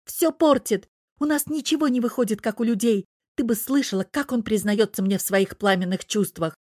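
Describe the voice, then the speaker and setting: A woman's voice: sits high, big pitch swings, a little fast, darkish tone, no echo, studio quality, pitched above the performer's own voice. A woman voicing a hot-headed, stubborn young woman who scolds shrilly and combatively in a story reading. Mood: whining complaint.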